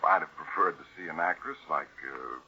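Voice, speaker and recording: An actor playing a polite, earnest family lawyer with a formal, emphatic manner in 1940s radio style. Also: male voice, extremely low pitch, moderate pace, pushed and bright, breathy edge, full character voice. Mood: candid, apologetic. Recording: muffled old radio recording.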